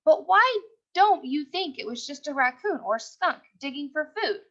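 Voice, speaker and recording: A woman voicing a patient, gently encouraging male schoolteacher in a storybook. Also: sits high, expressive, normal speed, pitched above the performer's own voice. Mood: encouraging, curious. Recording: dull, lo-fi sound.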